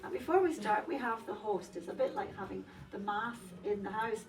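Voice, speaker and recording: A woman's voice: medium pitch, brisk, distant mic, background noise. A woman whose first language is Polish, hosting a festive dinner and speaking to the guests with a playful, teasing, laughing manner. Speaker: event host. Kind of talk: lively hosting speech to a crowd. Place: large indoor café hall. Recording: crowd chatter in a large hall. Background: crowd of dinner guests.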